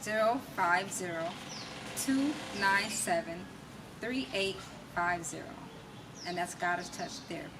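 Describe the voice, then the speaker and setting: A woman's voice: lowish voice, measured pace. Middle-aged American woman, talkative interview guest, emphatic delivery, recorded away from the mic with noticeable noise. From United States. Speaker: interview guest, massage therapist and music artist. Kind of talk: conversational interview. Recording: distant mic, clearly noisy background.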